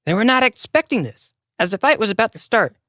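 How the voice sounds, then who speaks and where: A storyteller narrates a moral tale for children. The voice sits at a medium pitch and moves in lively swings, with deliberate, expressive phrasing. The sound is dry, with hardly any room in it. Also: no echo.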